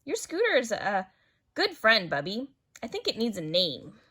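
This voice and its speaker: A woman voicing a young granddaughter in a children's storybook, expressive and questioning. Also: on the high side, normal speed, breathy edge, full character voice. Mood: warm. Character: doubtful young granddaughter who questions and complains.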